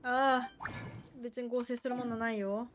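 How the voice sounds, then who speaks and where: A woman's voice: on the high side, fairly even intonation, fairly quick, background noise. A woman doing casual live commentary as a game streamer, chatting and reacting into a streaming microphone. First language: Japanese.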